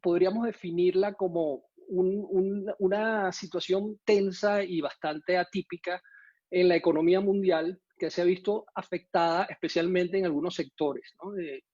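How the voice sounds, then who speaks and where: A man from Venezuela speaks Spanish with a Venezuelan accent touched by Mexican usage, giving a conference talk. His voice sits a bit high, and he often pauses on fillers and restarts his phrases. The sound is dry and somewhat muffled, like a video call. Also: steady tone, a little mellow, no echo. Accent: Venezuelan Spanish with some Mexican usage. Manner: hesitant, many fillers and restarts.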